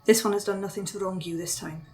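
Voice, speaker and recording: A woman's voice: medium pitch, steady tone, fast, music in the background. Distant, composed woman player. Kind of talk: tabletop game play.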